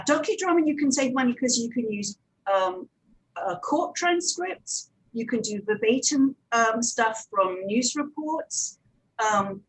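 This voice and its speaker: Female panel speaker, slightly high pitch, conversational and a little halting, explanatory delivery. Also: low-quality recording. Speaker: panel speaker, audio drama producer. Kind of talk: conference panel talk.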